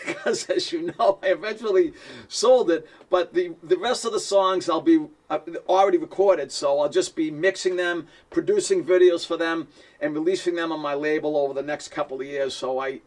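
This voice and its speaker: A man with a heavy Boston accent talks to camera about his music in an easy, rambling way. He pokes fun at himself and laughs lightly as he goes. His voice sits a little high and sounds a few steps back from the microphone. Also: a little mellow, recorded from a distance. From Boston, USA. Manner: chatty and self-deprecating, chuckles often. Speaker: musician and producer. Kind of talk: casual direct-to-camera talk.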